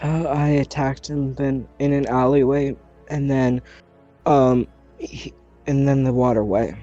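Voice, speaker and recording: A man's voice: medium pitch, steady tone, unhurried, warm tone, highs cut off, faint music behind, pitched below the performer's own voice, close to an everyday voice. A man playing a distraught, defensive orphaned teenage girl on trial, stammering hesitantly in a tabletop roleplay. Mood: defensive, nervous.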